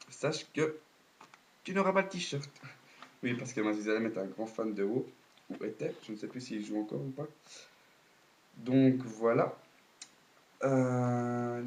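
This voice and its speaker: A man's voice: medium pitch, a little mellow. Hesitant man with a cold. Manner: hesitant, many fillers and restarts. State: has a cold, coughing. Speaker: video blogger. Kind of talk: casual audio recap.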